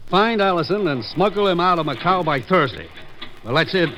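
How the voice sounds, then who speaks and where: An actor playing a grave, urgent commissioner, earnest and authoritative, in 1950s radio drama style. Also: male voice, high voice, expressive, brisk, bright, forceful tone. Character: grave, urgent male commissioner briefing his agent. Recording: old radio recording, faint noise.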